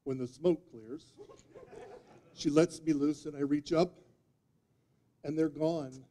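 A man in his fifties giving a humorous storytelling speech, with theatrical deadpan delivery and dramatic pauses. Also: fairly high pitch. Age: 50s. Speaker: speech contest speaker. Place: meeting room before a live audience, indoors. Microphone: distant audience camera microphone.

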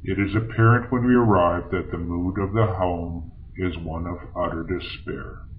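An actor playing a sober, methodical 1930s doctor, speaking formally as in an old-time radio audio journal. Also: male voice, deep voice, fairly even intonation, narrow, tinny audio, lightly acted. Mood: grave. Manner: formal, measured. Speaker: doctor and narrator. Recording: faint background noise.

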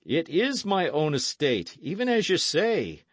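A man's voice: a little high, wildly swooping pitch, measured pace, no echo, voice pushed up, full character voice. A man voicing a stern but fair-minded English earl in an audiobook, with formal, weighty, archaic speech. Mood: resolute, grateful.

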